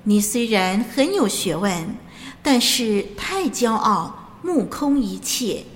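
A woman's voice: on the high side, big pitch swings. Woman playing a solemn angel judge in cavernous reverb. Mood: stern. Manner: solemn, measured pronouncements of judgment. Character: stern angel gatekeeper judging souls at heaven's gate. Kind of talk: scripted Mandarin radio drama acting. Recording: long cavernous reverb with background noise.

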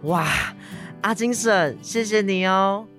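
A man's voice: high voice, takes it slowly, a bit bright, soft background music. A teenage boy with a Taiwanese Mandarin accent acting a caring schoolboy son in a radio drama. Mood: grateful. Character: Ah-Zhong, caring schoolboy son, nagging his injured mother. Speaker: student voice actor.

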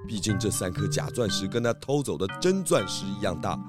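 A man playing a shrewd pig detective in a children's audio drama, speaking in a deliberate, theatrical sleuthing way. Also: fairly low pitch, very animated. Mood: confident, scheming. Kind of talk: children's radio drama acting. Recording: music behind the voice.

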